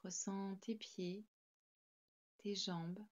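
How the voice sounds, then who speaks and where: A woman guides a meditation at a slow pace with a gentle, calming delivery. Her pitch sits in an ordinary middle range for a woman. The recording is a little dull and lo-fi, and her instructions come in short, spaced phrases. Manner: calm, soothing, gentle. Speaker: yoga nidra guide.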